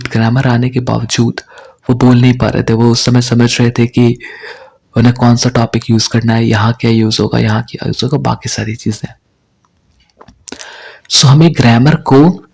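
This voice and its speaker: A young man with an Indian accent teaching spoken English, explaining deliberately in Hindi mixed with English and often checking in with "right?". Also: mid-range voice, gravelly, a little mellow, husky.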